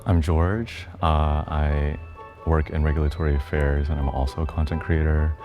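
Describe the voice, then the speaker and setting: Male, twenties, extremely deep voice, calm, clear music bed. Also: moderate pace. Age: 20s. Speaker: reality show contestant. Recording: show clip with clear background music.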